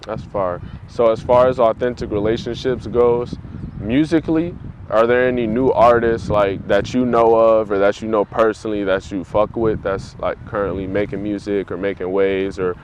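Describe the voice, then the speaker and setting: A man's voice: fairly low pitch, normal speed, a little hiss or hum. Easygoing slangy male interviewer. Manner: relaxed, slangy, encouraging backchannels. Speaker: interview host. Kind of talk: casual interview chat.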